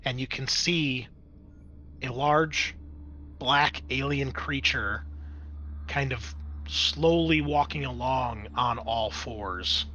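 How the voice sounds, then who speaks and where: A man with a bright, forward voice at middle pitch acts as game master. He improvises scene descriptions and talks players through rules. The recording is a little dull with faint noise. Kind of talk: improvised tabletop game narration and rules talk.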